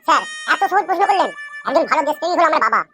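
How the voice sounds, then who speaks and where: A woman's voice: high-pitched, lively intonation, very fast, a bit bright, faint music behind. A woman voicing a cheeky, cocky schoolboy in a comic cartoon. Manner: cheeky, insistent. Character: cheeky, cocky schoolboy prankster with absurd answers.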